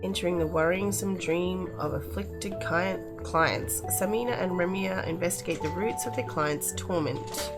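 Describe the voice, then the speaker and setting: A woman's voice: fairly low pitch, measured pace, few pauses, over loud music, low-quality recording. A woman hosting a casual game review, talking in a chatty, appreciative way.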